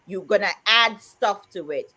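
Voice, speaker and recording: A woman co-hosting a live chat stream, telling animated stories, laughing often and reading viewer comments aloud. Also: medium pitch, measured pace. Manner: animated, laughs often, acts out quoted dialogue. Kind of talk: casual chat, storytelling.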